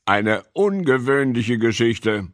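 A man plays a storybook cat, amused and pleased with itself. It drawls slowly with broad pitch swings, in a dry recording. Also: big pitch swings, dry recording. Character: amused cat listener with a fine humour. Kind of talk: children's storybook reading.

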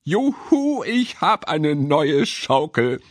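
A man puts on a little storybook girl, eager and playful. The voice jumps up high above his own and swings freely, recorded close and dry. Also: wildly swooping pitch, unhurried, breathy edge, no room sound, exaggerated voice. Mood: cheerful. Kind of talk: children's storybook reading.

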